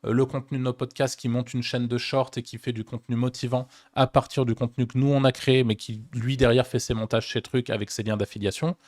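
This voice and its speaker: A man's voice: mid-range voice, little pitch movement, fast, hardly any pauses, a little mellow, no echo, clean studio sound. A man with a French accent co-hosting a podcast, talking casually with many hesitations and restarts. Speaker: podcast co-host.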